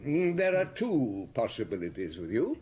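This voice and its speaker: A shrewd police inspector in an audio drama. His husky voice moves widely in pitch as he probes and accuses, deliberate, theatrical and sardonic. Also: wildly swooping pitch, darkish tone, heavily acted. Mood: measured probing. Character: probing, shrewd male police chief inspector laying out accusations. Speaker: detective. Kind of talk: radio drama acting.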